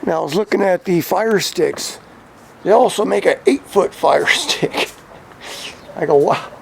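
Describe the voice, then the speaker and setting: An American man with a gravelly voice, talking casually and informally as a hobbyist vlogger. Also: sits high, a little slow, slight background noise. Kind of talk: casual hands-on gear talk.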